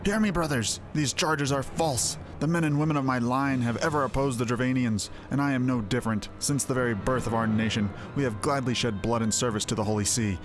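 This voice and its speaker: A man's voice: mid-range voice, fairly quick, few pauses, natural voice. A man voicing a proud, defiant lord in a fantasy game, declaiming with passion. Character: proud, defiant young lord accused of heresy. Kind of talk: fantasy game dialogue read aloud. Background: game music.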